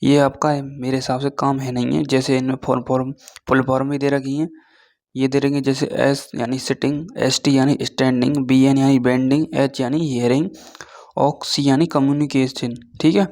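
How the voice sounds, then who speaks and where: A man giving an informal explainer lesson as an online teacher, reading through points haltingly and often checking in with short tag words. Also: medium pitch, darkish tone. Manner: switches between Hindi and halting read-out English.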